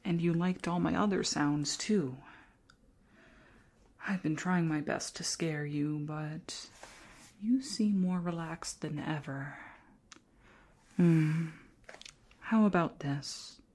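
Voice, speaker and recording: A woman playing a shy, hapless young female ghost in an ASMR roleplay, speaking softly and often whispering, with theatrically spooky teasing. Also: low-pitched, slow, long pauses, heavily acted. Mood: hopeful, shy. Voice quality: soft, breathy, often whispered.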